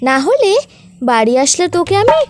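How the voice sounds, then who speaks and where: A woman's voice: extremely high pitch, wildly swooping pitch, moderate pace, over loud music, pitched above the performer's own voice, full character voice. A woman voicing a strict, worrying mother bird in a cartoon story. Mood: scolding. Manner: scolding, fretful.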